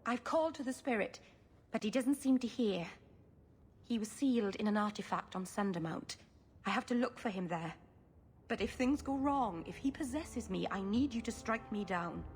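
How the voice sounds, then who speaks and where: Earnest, high-voiced young elf mage. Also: female voice, slightly rough. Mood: anxious, earnest. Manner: earnest, halting, pleading. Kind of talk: dramatic video game acting.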